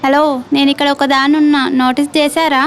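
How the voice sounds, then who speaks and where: Female actor as pert young woman, very high voice, quick blunt delivery, comedy audio drama, music behind. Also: flat delivery, lightly acted. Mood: miffed. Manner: pert, challenging.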